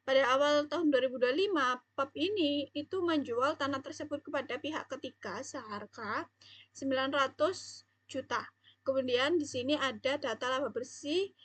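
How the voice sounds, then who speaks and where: A woman's voice: sits high, breathy edge, dry recording. A young woman explaining material as a student presenter, somewhat hesitant. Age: young adult.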